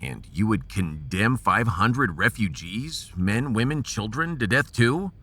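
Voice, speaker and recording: Guy doing a folksy american space captain, slow steady delivery, gruff n commanding, audio drama. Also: on the low side. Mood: grave, resolute. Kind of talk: sci-fi audio drama acting.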